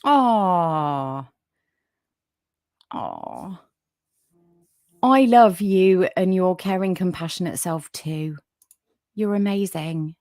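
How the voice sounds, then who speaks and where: A woman's voice: fairly low pitch, big pitch swings, very slow, pauses often, no room sound, clean studio sound. A woman with a soothing voice hosting a casual livestream, chatting with viewers and reading their comments with lots of fillers. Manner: chatty, warm toward viewers, many fillers. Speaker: livestream podcast host.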